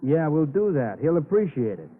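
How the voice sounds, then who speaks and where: An actor playing a sardonic police detective in an old radio crime drama, needling and coaxing in a soft-spoken way. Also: male voice, on the high side, very animated, normal speed, husky. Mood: patient, pressing. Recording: tinny, faintly noisy old radio recording.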